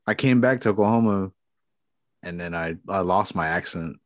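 A relaxed, friendly man in casual chat, using "man" and "dude" a lot. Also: low-pitched, normal speed, no room sound.

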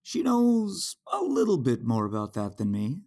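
A slow, breathy fatherly consoler. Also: mid-range voice, wildly swooping pitch, a little mellow, full character voice. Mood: gentle, consoling. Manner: soothing, patient. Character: gentle, patient fatherly man consoling a youth. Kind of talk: audio drama acting.

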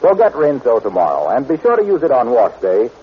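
A man working as a 1940s radio announcer, reading a commercial as a folksy sales pitch in a husky voice. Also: huge pitch swings, fairly quick. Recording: dull old radio recording.

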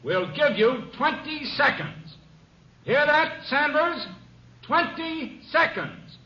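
An actor playing a stern policeman calling out loudly and slowly over a loudspeaker in a 1950s radio drama. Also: very high voice, very animated, full character voice. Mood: stern, demanding. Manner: loud, slow, declamatory. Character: stern policeman shouting an ultimatum through loudspeaker. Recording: muffled, echoing 1950s radio recording.

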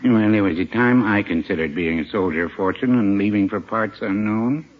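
An actor playing a mild, henpecked middle-aged American druggist with a dry, hesitant, folksy manner in a 1950s radio comedy. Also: male voice, fairly low pitch, normal speed, lightly acted. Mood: wistful, droll. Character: mild, droll, henpecked small-town druggist, an older married man. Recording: dull, faintly noisy 1950s radio recording.

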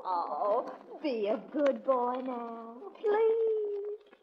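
High-pitched, coquettish Southern belle. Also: female voice, very animated, full character voice. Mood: coaxing, playful. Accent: American South. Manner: gushing, coy, drawn-out pleading. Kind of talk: 1940s radio comedy acting. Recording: dull, noisy 1940s radio recording.

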